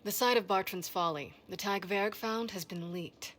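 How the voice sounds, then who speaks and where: An actress playing a brisk, self-assured expert in a fantasy video game, with a dry, matter-of-fact delivery. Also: female voice, medium pitch, a bit bright, lightly acted.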